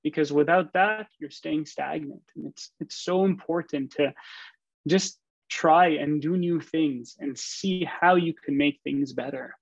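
Man, guest speaker, gravelly, somewhat high, muffled dry call audio. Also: measured pace, no echo. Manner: earnest, repeats "you know". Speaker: guest speaker, software engineer. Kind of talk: prepared talk.

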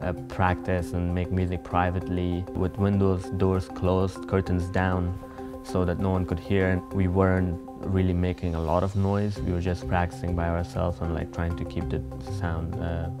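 A young man from Afghanistan talks earnestly in a sit-down interview, stumbling and restarting as he searches for words. His voice is low and flat. Soft music plays faintly behind him. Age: young adult. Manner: earnest, halting, many restarts. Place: empty concert hall stage.

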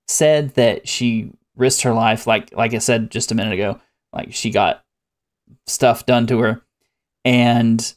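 A man co-hosting a show, chatting casually on a headset mic at home, slow and drawn out with many fillers. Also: medium pitch. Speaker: co-host reacting to a video. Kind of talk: casual chat.

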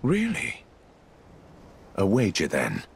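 Suave sly villain, slow and swooping. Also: male voice, low-pitched, wildly swooping pitch, vocal fry, breathy edge, exaggerated voice. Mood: sly, courtly. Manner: theatrical, courtly, drawling with drawn-out pauses. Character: suave, sly villainous gambler in a dark hooded coat. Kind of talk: video game cutscene acting.

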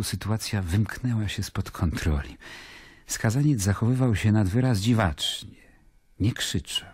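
Very deep, troubled Roman governor. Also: male voice, mellow, breathy edge, no echo, studio quality. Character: weary, troubled male Roman governor of Judea. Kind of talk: dramatic first-person narration in a radio play.

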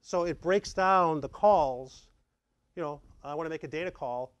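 Middle-aged man, slightly high voice, chatty presenter, dry sound. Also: moderate pace, no echo, dull audio. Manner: lively, conversational, acts out examples. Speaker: tech presenter. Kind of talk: meetup slide presentation. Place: dark meeting room with projector.

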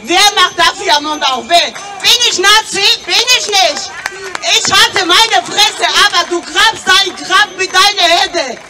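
A woman in her thirties with a Georgian accent, giving an impromptu, emotional rally speech in a nervous, agitated, halting way. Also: very high voice, far from the mic. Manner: impassioned, agitated, halting delivery. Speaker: audience member speaking at a rally. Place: open city square at a street rally. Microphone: handheld microphone, filmed by a camera nearby. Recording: outdoor public-address microphone from afar, noisy crowd.

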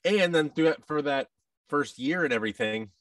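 A man's voice: fairly high pitch, animated, normal speed, fairly bright tone, no room sound, clean studio sound, far from the mic. An American man in his thirties chatting casually as an interview guest, with a wry, self-deprecating manner. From United States. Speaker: guest, voice actor and audio drama producer. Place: large indoor studio room. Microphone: boom-arm microphone with headset, video call.

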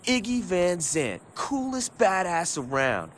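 Cocky brash skate punk from a video game, pitched high, really animated. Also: male voice, takes it slowly, a little hiss or hum, exaggerated voice. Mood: bragging. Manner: brash, slangy. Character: cocky territorial tough-guy skater, gruff slacker. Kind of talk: video game cutscene acting.

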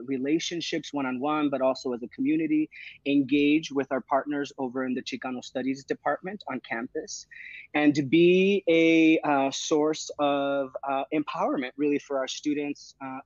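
A man's voice: mid-range voice, a little mellow, no echo. A man in his thirties, a program director, answering interview questions earnestly over a video call, often checking in with "right?". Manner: earnest, thoughtful, frequent "uh" and "right?". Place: home room with plants. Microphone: video call, earbuds. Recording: dull, dry video-call audio.

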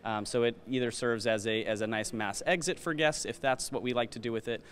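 A man's voice: mid-range voice, brisk, a bit bright. A man from the arts center staff explaining the building during a guided tour, with frequent fillers as he talks in a large glass-walled lobby. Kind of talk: informative guided tour talk.

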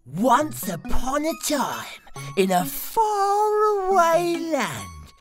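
Very slow, swooping storybook narrator, a woman. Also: high voice, huge pitch swings, music in the background, exaggerated voice. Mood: storytelling. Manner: measured storytelling. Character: storybook fairy-tale narrator. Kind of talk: scripted fairy-tale narration.

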